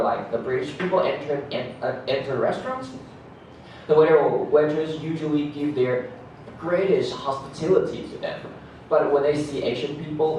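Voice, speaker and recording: A man's voice: fairly high pitch, darkish tone. A man leading a classroom discussion, asking students questions in a friendly, chatty way and laughing often. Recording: very echoey room, mic far off, faint noise.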